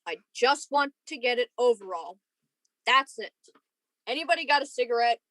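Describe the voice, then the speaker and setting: A woman's voice: a little high, lively intonation, moderate pace, fairly bright tone. A woman playing an edgy, impatient young lieutenant in a science fiction radio play, with a casual, restless delivery. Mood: nervous, impatient.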